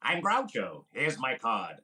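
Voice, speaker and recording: A man's voice: mid-range voice, wildly swooping pitch, slow pace, pushed and bright, exaggerated voice. A man playing a sardonic, wisecracking vaudeville-style comedian in a 1930s radio comedy.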